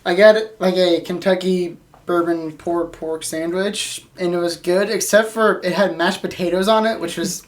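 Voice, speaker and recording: Co-host voice, mid pitch, informal conversational podcast delivery. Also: steady tone, moderate pace. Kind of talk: casual chat.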